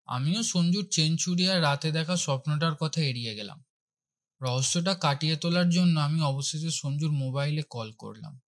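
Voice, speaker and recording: A man's voice: on the high side, normal speed. A man reading dramatic scripted first-person narration for a horror story, with music behind him.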